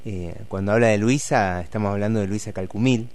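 A man hosting a radio programme, commenting in a casual, halting and reflective way. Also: lowish voice, darkish tone. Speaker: radio host.

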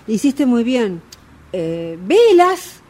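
A woman's voice: a little high, very animated, extremely slow, mellow, a little hiss or hum, exaggerated voice. An actress playing an evasive, self-assured fortune teller in a radio drama, placating her client with theatrical reactions in an Argentine accent.

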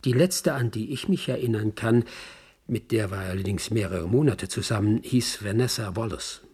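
A man's voice: lowish voice, moderate pace, a little mellow. An actor playing a worldly man who recalls an old acquaintance with dry, gossipy irony, as if interviewed in a radio drama. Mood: wry, reminiscing. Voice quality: husky, gravelly. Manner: reminiscing, dryly ironic. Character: worldly male acquaintance giving wry, gossipy recollections.

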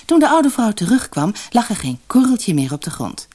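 A woman narrating a fairy tale for an audiobook in an expressive, dramatic, scripted storytelling style. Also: medium pitch, moderate pace, slightly rough.

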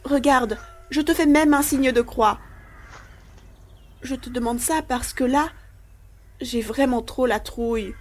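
A woman's voice: high voice, slow, pauses often. A woman playing an ashamed, frightened young child in an audio drama, confessional and self-deprecating. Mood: fearful pleading. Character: ashamed, frightened young village boy who wets the bed. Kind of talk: dramatic monologue in an audio play.

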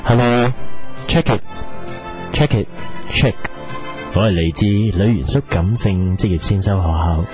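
Man voicing a suave student, low voice, affected, mock-serious, Hong Kong Cantonese, radio comedy, music behind. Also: takes it slowly, long pauses. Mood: suave, earnest. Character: self-consciously suave, sensual-voiced male student contestant. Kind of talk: comedy radio drama acting.

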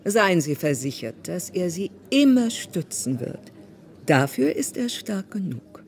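A woman's voice: fairly low pitch, sing-song, unhurried, a little mellow. An actress in a German radio play playing a proud, devoted queen, speaking in a stately, solicitous manner. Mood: protective, solemn. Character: proud, devoted queen consoling her slighted cousin.

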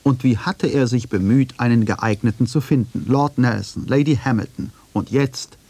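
A man reading scripted narration for a radio feature in a measured, factual, deliberate way. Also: medium pitch, dark tone, breathy edge, dry recording.

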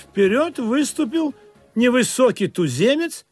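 An actor narrating a humorous radio play as a droll sailor, with expressive mock-solemn delivery. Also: male voice, extremely high pitch, a little slow, breathy edge, exaggerated voice. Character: narrating sailor, droll teller of absurd sea voyage. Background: music behind the voice.

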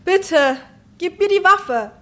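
A woman's voice: very high-pitched, expressive, takes it slowly, background noise, exaggerated voice. An actress in a radio drama playing an anxious woman trying to defuse danger. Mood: urgent, pleading.

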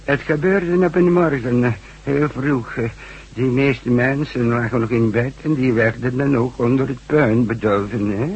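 An actor playing a friendly hundred-year-old village man who rambles and hesitates in a shaky, husky, creaky voice, in a radio drama. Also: medium pitch, a little mellow, dull audio. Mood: rambling, reminiscing. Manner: halting, hesitant, rambling storytelling.

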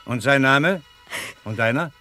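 An actor playing a grave, commanding noble warlord in a radio drama, solemn and declamatory. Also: male voice, mid-range voice, a little slow, pushed and bright, soft background music. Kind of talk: classic stage-like radio drama acting.

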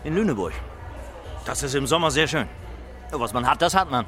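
A man's voice: mid-range voice, animated, normal speed, pushed and bright, soft background music. An actor playing an awkward, jovial man making small talk full of hollow platitudes in a radio play.

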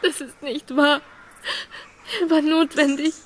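A woman's voice: high voice, level tone, slow pace, slight background noise. An actress playing a proud, hurt young woman in love in a German radio drama, emotionally intense and reproachful. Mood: tender, earnest. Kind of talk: German radio drama acting of a classic novel.

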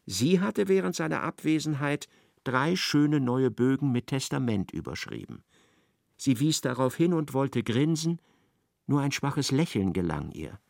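Ein Mann als Erzähler eines literarischen Hörspiels. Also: male voice, medium pitch, big pitch swings, unhurried, vocal fry, a little mellow, dry recording, studio quality. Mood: measured narration. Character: expressive male narrator of a literary radio play. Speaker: narrator. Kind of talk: literary radio play narration.

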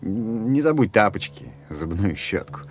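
An actor in an audio drama playing a sober, controlled doctor, speaking in a terse, restrained way. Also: male voice, fairly low pitch, very animated, a little slow, over loud music. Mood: calm, firm. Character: sober, controlled doctor, confidant to a desperate friend.

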